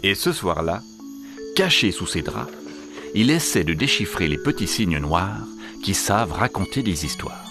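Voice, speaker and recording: A man telling a children's storybook tale in an expressive, theatrical way. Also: low-pitched, unhurried. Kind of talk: animated children's storybook narration. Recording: music behind the voice. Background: music.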